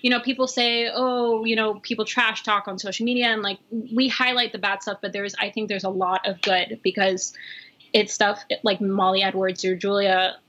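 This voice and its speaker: Bright-voiced chatty woman. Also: medium pitch, flat delivery, normal speed. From New York. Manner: chatty, fillers, laughs easily. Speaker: guest. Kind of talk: casual conversation.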